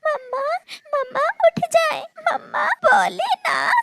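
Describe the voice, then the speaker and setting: Small girl bird, cartoon played by a woman: very high pitch, pleading, tearful, protective elder sister. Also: slow pace, background noise, full character voice. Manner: pleading, plaintive.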